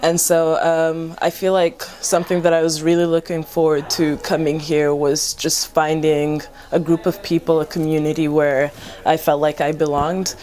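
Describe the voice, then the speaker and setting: Deep-voiced young woman from Rwanda. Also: level tone, moderate pace, a little mellow. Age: young adult. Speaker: university student. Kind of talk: casual interview answer.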